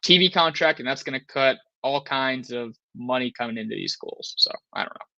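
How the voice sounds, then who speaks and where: A man's voice: medium pitch, brisk, creaky, pushed and bright, dry recording, highs cut off. A man in his thirties co-hosting a sports talk show, rambling with restarts and frustrated. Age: 30s. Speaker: podcast co-host.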